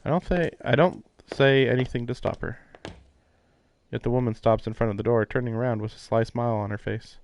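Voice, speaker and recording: A man's voice: medium pitch, steady tone, a little fast, a little mellow, muffled recording. A man doing casual livestream commentary and reading aloud, puzzled and musing as he goes. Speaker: livestreamer playing a visual novel. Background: game music.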